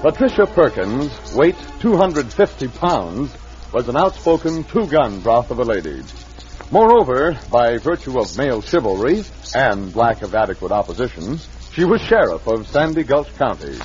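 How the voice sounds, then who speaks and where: Lively man narrating an old radio Western. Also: mid-range voice, moderate pace. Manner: dramatic, lively. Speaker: narrator and announcer. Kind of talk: 1950s radio drama narration. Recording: dull old radio recording with music.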